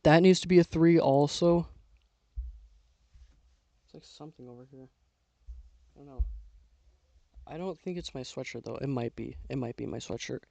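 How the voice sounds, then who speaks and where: A young man giving casual, self-talking commentary while building with LEGO, losing his voice and clearing his throat now and then. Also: mid-range voice, moderate pace, pauses often, no echo. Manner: relaxed, mutters to himself while building.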